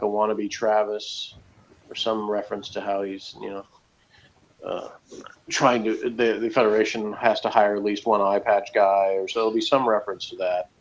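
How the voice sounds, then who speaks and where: A man's voice: lowish voice, lo-fi sound. A man chatting casually as a panelist on a review show, rambling and peppering his talk with "like" and "you know". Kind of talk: casual opinionated chat.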